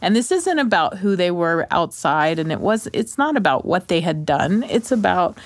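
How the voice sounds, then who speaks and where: A woman's voice: on the low side, big pitch swings, moderate pace, dry recording. A woman in casual panel conversation, talking in an earnest, reflective way. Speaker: radio show panelist. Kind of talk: casual chat.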